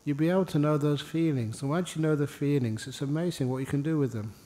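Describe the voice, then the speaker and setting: A man leading a guided meditation as a monk and teacher, speaking informally in short, unhurried phrases with pauses and gentle humour. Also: fairly high pitch, big-room sound. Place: indoor meditation hall.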